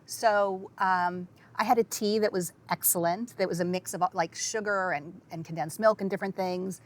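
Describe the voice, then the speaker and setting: A middle-aged woman talks in a chatty, enthusiastic way about food she loved. Her voice sits at a plain middle pitch in a casual panel conversation. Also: steady tone, normal speed. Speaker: guest, publicist.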